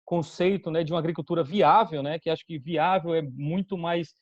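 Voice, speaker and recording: A Bahian man in his forties answers interview questions in a measured, deliberate way, often restarting his phrases. His voice sits a little high for a man, and the recording is dry and dull, with little room sound. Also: no room sound. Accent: Bahian Brazilian Portuguese. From Salvador, Bahia, Brazil. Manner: measured, with frequent restarts and fillers. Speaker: guest, company executive.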